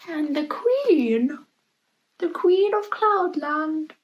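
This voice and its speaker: A woman reading a children's picture book aloud in an expressive storytelling manner, pausing to point out the pictures. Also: high-pitched, dull audio. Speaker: storybook reader.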